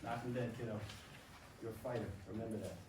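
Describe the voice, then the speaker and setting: A man's voice: on the low side, steady tone, quick pace, natural voice. An actor playing a tender, proud middle-aged father, sentimental and encouraging, in a staged reading of a play. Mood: fond. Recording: echoey room, distant mic, faint noise.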